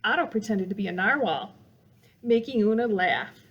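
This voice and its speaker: A woman's voice: mid-range voice, lively intonation, moderate pace, a bit bright, breathy edge, highs cut off. A woman reading a children's picture book aloud as a storytime reader, with dramatic emphasis meant to engage young listeners.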